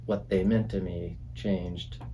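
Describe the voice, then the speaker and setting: A man, a music producer and drummer, talking in a relaxed, halting way in a recording studio about the songs he played on. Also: low-pitched, muffled recording, a little hiss or hum. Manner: reflective, halting, many fillers. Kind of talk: casual conversation.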